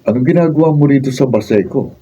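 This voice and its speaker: A man acting a protective working man giving gruff warnings in a radio drama. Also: medium pitch, quick pace, warm tone, slight background noise, natural voice. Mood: concerned, warning. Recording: distant, muffled raw studio video.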